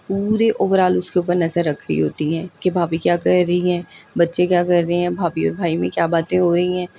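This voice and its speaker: A woman's voice: lowish voice, vocal fry, warm tone, a little hiss or hum. A woman in her thirties with a sore throat, chatting to viewers in a rambling, direct way as she gives family advice at home. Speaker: vlogger giving family advice. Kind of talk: casual advice vlog.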